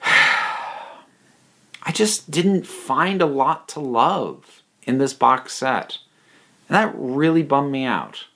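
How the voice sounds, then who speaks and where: A man hosting a casual video review, talking to viewers in a halting, thinking-aloud way with emphatic pauses. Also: mid-range voice, expressive, pushed and bright. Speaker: video reviewer and channel host.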